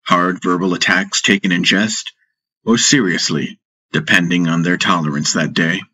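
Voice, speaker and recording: A man narrating a fantasy audiobook in a measured, dramatic storytelling style. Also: sits low, steady tone, pushed and bright, slightly rough.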